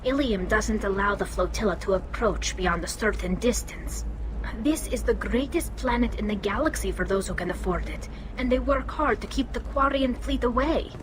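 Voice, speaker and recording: A woman's voice: medium pitch, normal speed, noisy background. A woman playing an earnest, anxious and loyal young alien engineer in a video game, speaking through a helmet filter. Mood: alert, serious. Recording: roomy game audio with music behind.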